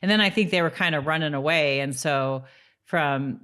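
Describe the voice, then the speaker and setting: A woman's voice: sits low, moderate pace, bright, forceful tone, clean tone. A woman co-hosting a casual rewatch podcast, chatting and at times reading from notes. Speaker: podcast co-host.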